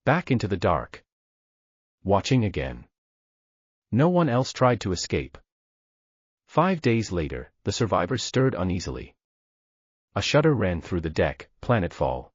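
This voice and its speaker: A man's voice: low voice, big pitch swings, measured pace, long pauses, breathy edge, no room sound. A man giving grave, dramatic narration of a science fiction story. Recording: dull dry lo-fi recording.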